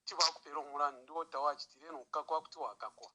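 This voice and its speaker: A man's voice: fairly high pitch, steady tone, rapid-fire, pushed and bright. A man with a Zambian accent giving spontaneous political commentary as a caller on a noisy phone line.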